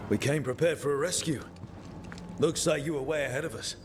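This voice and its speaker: A man playing a confident, eager young hero in a fantasy video game, speaking in a brisk, straightforward way. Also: fairly high pitch, close to an everyday voice. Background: dramatic music.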